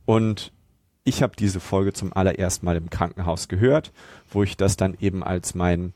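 A man's voice: low-pitched, moderate pace, mellow, no room sound. A man moderating a podcast in a casual conversational style.

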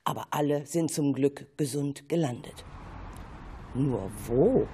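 A woman's voice: extremely low pitch, slow, dark tone, slight background noise. A woman narrating a children's story expressively, with playful asides.

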